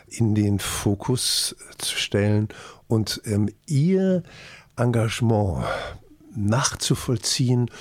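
Male host for guest introductions: slow, deliberate delivery, searching phrasing, dry sound. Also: lowish voice, husky, dry recording. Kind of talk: spoken introduction.

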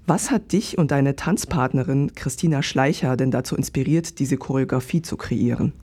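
A man's voice: medium pitch, normal speed, a little mellow, slightly rough. A man hosting a podcast, interviewing a guest in a conversational way.

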